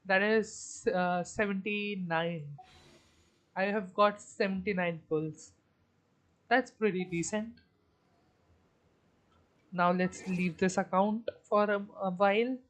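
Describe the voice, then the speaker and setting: A woman's voice: medium pitch, steady tone, slow, long pauses. A woman streaming a video game, casually thinking aloud and talking to her chat. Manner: hesitant restarts while counting. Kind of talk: casual live-stream commentary.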